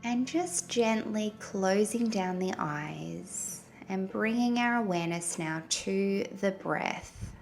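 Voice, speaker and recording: Woman doing a guided meditation, really slow n gentle, bit of creaky fry, soft music behind her. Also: mid-range voice. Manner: gentle, soothing, unhurried delivery with long pauses. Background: soft background music.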